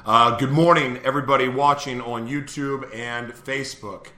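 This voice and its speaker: An upbeat man hosting a live morning talk show, chatting casually and laughing easily. His voice is bright and forceful. It rings with a clear echo, as if he were in a bare room. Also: medium pitch, fairly even intonation, normal speed. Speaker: host.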